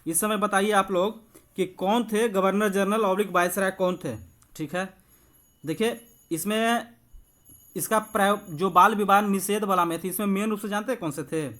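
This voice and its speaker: A man's voice: sits high, fairly even intonation, moderate pace, a bit bright. A man works through exam quiz questions as a coach. He explains in an instructive way, talking to his viewers familiarly, like friends. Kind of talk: quiz walkthrough lesson with explanations.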